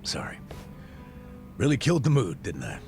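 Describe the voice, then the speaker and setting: Casting: middle-aged American ex-policeman for a game, lowish gravelly voice, blunt, regretful, streetwise. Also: wildly swooping pitch, moderate pace, music in the background. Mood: rueful. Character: disgraced middle-aged ex-cop, gruff, regretful, good-hearted. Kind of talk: video game cutscene dialogue.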